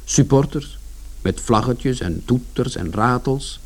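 A man's voice: medium pitch, lively intonation, slow pace. An actor playing an indignant, long-winded man who explains his side of events as narrator of a radio play. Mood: explanatory, wry. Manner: aggrieved, explanatory, colloquial.

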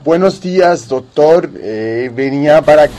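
Slow-paced, hesitant man in satirical dialogue. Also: fairly high pitch, lively intonation, very noisy. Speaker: radio presenter playing a concerned patient in a satirical sketch.

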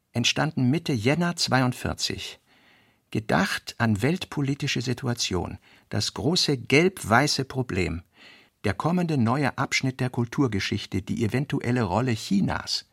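A man's voice: mid-range voice, creaky, slightly rough, no echo, studio quality. A man reading scripted literary notes and essays for a radio play.

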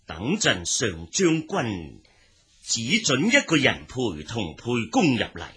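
A ruthless old strategist in a Chinese historical radio drama. His voice is husky and forceful, and he plots in slow, emphatic, calculating phrases. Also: mid-range voice, wildly swooping pitch, exaggerated voice. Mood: scheming. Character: scheming, ruthless old male military adviser.

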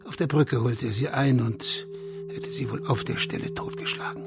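An actor playing a bitter, proud last heir of a declining family in a radio crime drama, scornful and insinuating, sometimes dropping to a breathy murmur. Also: male voice, medium pitch, steady tone, moderate pace, lightly acted. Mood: proud, wry recounting. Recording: music behind the voice.

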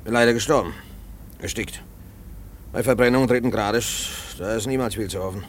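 A man's voice: fairly low pitch, normal speed, natural voice. A man playing an evasive works director in a 1950s radio play, speaking in clipped, hesitant phrases. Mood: brusque, evasive. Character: evasive, brusque male coal company works director. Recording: faintly noisy 1950s radio recording.

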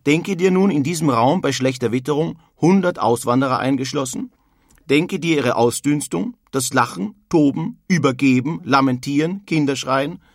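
An actor playing a wryly bitter emigrant from past centuries, reading out letters expressively in a measured way for a radio feature. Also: male voice, medium pitch, dry recording, studio quality, natural voice. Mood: grim, emphatic.

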